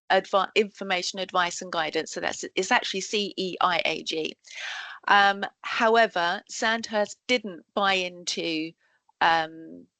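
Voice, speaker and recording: A woman presenting to colleagues in a work meeting, halting with frequent ums and self-corrections, heard over a video call. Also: mid-range voice, fairly bright tone, no room sound. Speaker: education support officer presenting to colleagues.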